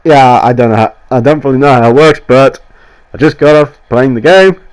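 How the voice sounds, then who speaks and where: A man's voice: medium pitch, fairly quick, a little mellow, smooth. A man with a cold, sounding nasal and coughing now and then, rambling through a casual, sweary game review voiceover. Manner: rambling, sweary, enthusiastic, restarts often. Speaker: gaming YouTuber. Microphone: voiceover mic.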